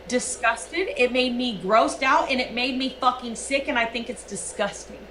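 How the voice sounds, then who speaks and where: A woman's voice: on the high side, normal speed, a bit bright, echoey room, slight background noise, poor audio. A woman livestreamer delivering a profane, emphatic rant.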